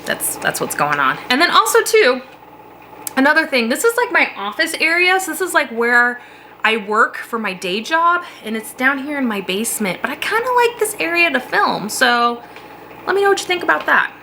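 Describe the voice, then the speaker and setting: A woman's voice: high-pitched, very animated, brisk, bright, forceful tone, slight background noise. A woman with a slightly sniffly voice from a cold, chatting casually to camera from her basement office, rambling and laughing as she talks. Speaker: beauty vlogger.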